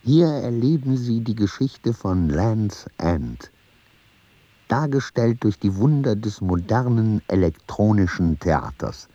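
A man narrating in a radio play, reading texts out in a deliberate, husky voice. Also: lowish voice, expressive, mellow, no echo, dull audio, soft background music.

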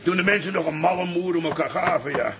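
An actor playing a jovial, easily spooked old barkeeper in a Dutch radio comedy, with a folksy, excitable manner. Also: male voice, sits high, fast, very noisy, natural voice. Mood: jovial, proud. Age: older.

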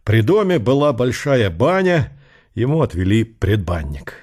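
A man reading literary narration in an unhurried, characterful storytelling manner. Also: medium pitch, very animated, a little mellow. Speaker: narrator of an audio drama.

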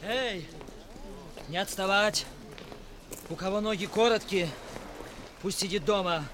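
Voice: medium pitch, a little slow, lightly acted. В радиоспектакле 1960-х звучит мальчишка-заводила, который командует ватагой. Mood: bossy. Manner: commanding, shouting orders. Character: bossy ringleader boy of a gang. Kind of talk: 1960s radio drama acting. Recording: noisy 1960s outdoor radio scene.

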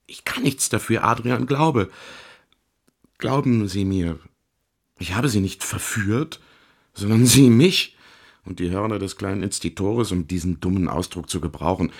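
Self-justifying artist and ladies' man, low pitch, creaky, theatrical, confiding monologue, radio play. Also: full character voice. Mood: agitated, defensive. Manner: halting, theatrical, emphatic. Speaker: radio drama actor.

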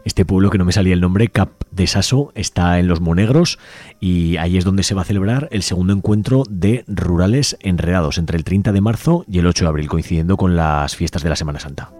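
A man's voice: low-pitched, a little fast, no echo. A man from Spain hosting a community radio show, speaking unhurriedly and often restarting and rewording his phrases. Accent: Peninsular Spanish. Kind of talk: unscripted radio talk segment. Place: radio studio. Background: soft music bed.

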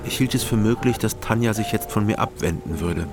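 Low, gravelly man, guarded detective actor's role. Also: fairly quick, very noisy, close to an everyday voice. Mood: confessional. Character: guarded, melancholy East German private detective narrating his story. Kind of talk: radio drama first-person narration and dialogue.

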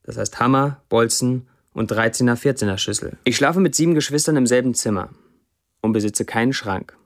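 An actor playing a sardonic Syrian blacksmith who writes Facebook posts, delivered as a literary monologue in a radio play. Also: male voice, medium pitch, fairly quick, close to an everyday voice. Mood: wry.

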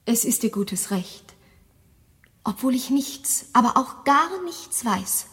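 A woman's voice: fairly high pitch, animated, slow, a bit bright. An actress playing a composed, affectionate and sweetly evasive young lady in a German radio drama. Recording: long hall reverb.

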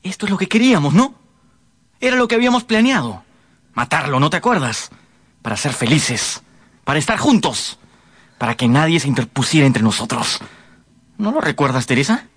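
Volatile, scheming murderous lover. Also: male voice, a little high, very animated, normal speed, pauses often, a bit bright, slightly rough, no room sound, studio quality, full character voice. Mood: cold, persuasive. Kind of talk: radio drama acting.

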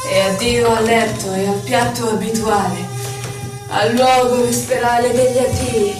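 Melancholy voice of farewell and exile, female actor: high, dramatic declamation, distant and heavily reverberant, violin underneath. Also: steady tone, unhurried, lightly acted. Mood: wistful, melancholic. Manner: lyrical, dramatic, declaimed delivery. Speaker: actress reciting poetry. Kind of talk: theatrical poetry recital with violin. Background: violin music.

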